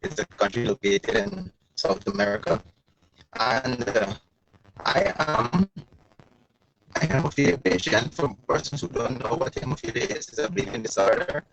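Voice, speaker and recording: Brief: man, gravelly and lively in pitch, halting, on a noisy, echoey call. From Guyana. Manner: halting, with many ums. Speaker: guest. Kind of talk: self-introduction on a video call. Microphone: video call.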